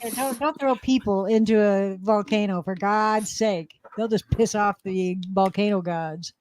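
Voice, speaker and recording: A woman's voice: mid-range voice, measured pace, a little mellow. A middle-aged woman, chatting casually on a live panel. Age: 50s or older.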